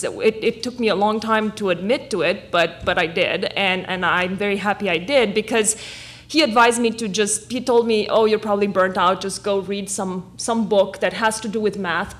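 A woman's voice: medium pitch, a bit bright. A woman in her thirties from Romania, speaking at a panel table microphone in a lecture hall, telling her story to students thoughtfully with many ums and repeated words. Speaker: panelist, math professor. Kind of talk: casual panel talk to students. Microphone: table microphone on a stand.

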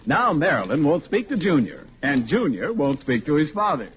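A man's voice: a little high, sing-song, normal speed. A man working as a 1940s radio announcer, reading a script in a formal, emphatic, declamatory style. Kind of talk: 1940s scripted radio narration and sponsor message. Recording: muffled, faintly noisy 1940s radio.

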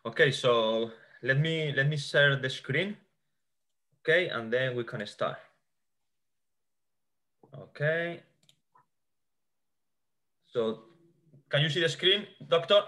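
A man from Spain with a Spanish accent talks through his slides on a video call. His voice is bright and forward, and he often circles back to repeat a word before going on. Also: a little high, animated, slow pace, pauses often. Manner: hesitant restarts, repeated words. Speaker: guest expert, sports scientist. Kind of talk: explaining a slide presentation over a video call. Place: small indoor room. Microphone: video call webcam.

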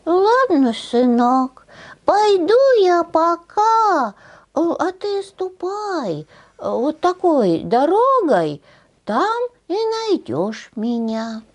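A woman voicing a poor, patient old peasant man in a folk tale, speaking slowly in a kindly, folksy way. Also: very high-pitched, very animated, voice pushed up, heavily acted.

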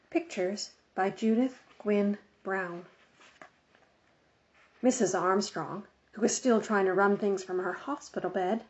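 A woman's voice: medium pitch, a little mellow. A woman narrating a children's storybook as a scripted read-aloud. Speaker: audiobook narrator. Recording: dull, muffled lo-fi audio.

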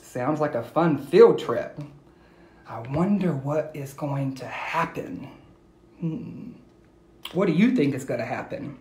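A middle-aged man reads to children at a slow, expressive pace. He speaks directly and encouragingly to his young listeners. It is recorded on a phone in an echoey room at home. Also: fairly high pitch. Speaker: educator reading aloud to schoolchildren. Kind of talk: expressive children's read-aloud.

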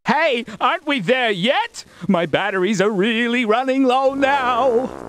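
Slow, whiny cartoon robot sidekick. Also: male voice, very high voice, lively intonation, a bit bright, faint music behind, exaggerated voice. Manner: whiny, drawn-out. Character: whiny, anxious little flying laptop robot sidekick. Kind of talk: animated cartoon game dialogue.

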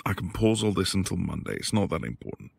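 Quiet, devoted academic husband male performer for boyfriend audio drama; husky, expressive delivery, hesitant and tender. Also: deep voice, fast talker, full character voice. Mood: tender, reassuring. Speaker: audio roleplay voice actor. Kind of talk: intimate boyfriend ASMR roleplay acting.

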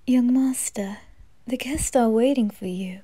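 A woman voicing a soft-spoken, devoted servant, gentle and deferential, in an anime fan audio drama. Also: a little high, unhurried, slightly rough, no room sound, voice pushed down. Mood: hushed, gentle.

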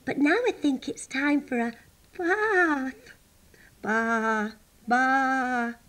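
A woman's voice: high voice, extremely slow, fairly bright tone, exaggerated voice. A woman voicing a silly, playful female sheep who bleats and exclaims in a children's storybook reading. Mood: bubbly.

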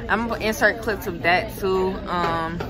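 A young woman with a raspy voice chatting casually in a vlog, sounding tired and using slang. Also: mid-range voice, measured pace, poor audio. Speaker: vlogger. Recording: loud crowd noise.

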